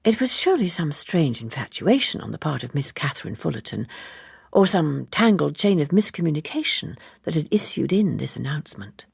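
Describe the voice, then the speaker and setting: A woman reading literary fiction as an audiobook narrator, in a measured, articulate and wry way. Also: low-pitched, creaky, a little mellow, husky, no room sound, studio quality. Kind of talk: expressive literary scripted narration.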